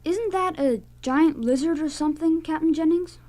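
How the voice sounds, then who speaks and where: A woman's voice: sits high, moderate pace, dark tone, natural voice. A woman voicing an eager, wide-eyed young boy in a 1970s radio drama. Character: eager young boy Tommy, pilot's son.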